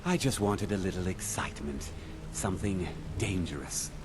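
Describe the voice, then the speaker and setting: Low-voiced roguish thief. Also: male voice, animated, moderate pace. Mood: confiding, wistful. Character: suave thrill-seeking male thief, former rich winery heir. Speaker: video game character. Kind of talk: scripted game dialogue. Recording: clearly noisy background under game dialogue.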